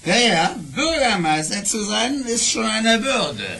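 An actor playing a fussy, self-important mayor in a radio play, husky and questioning, repeating his words. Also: high-pitched, lively intonation, slow, over a noisy crowd, full character voice.

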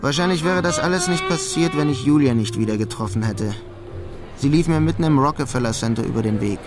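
A man's voice: on the high side, expressive, a little fast, close to an everyday voice. An actor playing a bitter, lovelorn young man in a radio crime drama, with a sardonic and brooding manner. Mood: wry narrating. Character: bitter lovelorn young man working a menial job in Queens. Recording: radio play with loud music underneath. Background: music.

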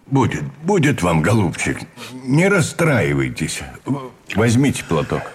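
An actor playing a condescending, philosophical Russian army colonel in a radio play, with patronizing, deliberate phrasing. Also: male voice, lowish voice, huge pitch swings. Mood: soothing.

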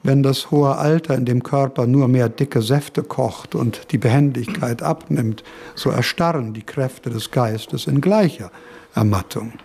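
An actor playing a pensive, scholarly eighteenth-century male philosopher in a radio drama, speaking in a deliberate, formal, learned manner. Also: medium pitch, creaky, a little mellow, a little hiss or hum. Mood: lecturing, measured. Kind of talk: radio drama monologue and lecturing.

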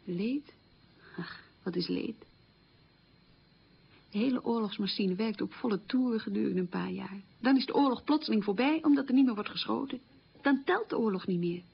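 An actress playing a reflective middle-aged woman recalling wartime childhood hunger in a halting, emphatic radio monologue, with a husky, creaky voice. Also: fairly high pitch. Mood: grave, reflective. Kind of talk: radio play monologue, conversational reminiscence. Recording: dull lo-fi radio recording.

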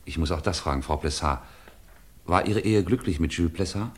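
An actor playing a composed, probing police commissioner in a German radio crime drama, speaking in a measured, courteous way. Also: male voice, sits low, lightly acted. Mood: formal, probing.

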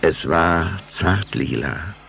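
A man narrating a German children's vampire audio drama as a storyteller, with measured, dramatic delivery. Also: deep voice, animated, creaky, a bit bright, a little hiss or hum, full character voice. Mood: storytelling.

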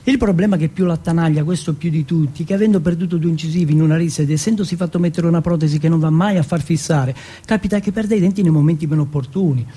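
Husky man delivering a monologue. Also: on the high side, quick pace, warm tone. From Turin, Italy. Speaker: monologue performer. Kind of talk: theatrical autobiographical monologue.

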